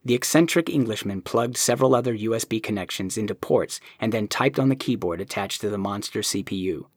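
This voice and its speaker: A man narrating an audiobook in an even storytelling manner. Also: on the low side, a little fast, slightly rough, dry recording, clean studio sound.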